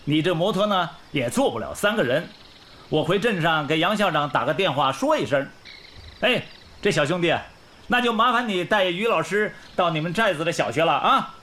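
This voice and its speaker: Man as considerate official, high animated voice. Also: moderate pace, slight background noise. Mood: practical. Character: considerate male official seeing a new teacher off. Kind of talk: Chinese radio drama acting.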